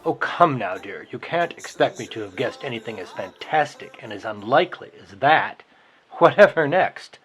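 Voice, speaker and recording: Man playing a scornful boss, swooping pitch. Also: expressive, normal speed, a bit bright, close to an everyday voice. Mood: mocking. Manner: sarcastic, needling. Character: scornful, possessive man over forty, a boss. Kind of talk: dramatized novel reading.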